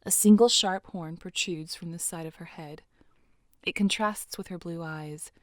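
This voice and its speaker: A woman's voice: on the low side, measured pace, no echo, clean studio sound. A woman narrating an audio drama as scripted, dramatic storytelling.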